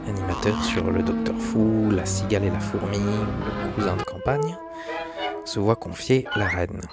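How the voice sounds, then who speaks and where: A man's voice: on the low side, unhurried. A man reading a scripted documentary narration, now and then stumbling slightly over the script. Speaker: host of an animation history video channel. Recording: dry, close, with background music.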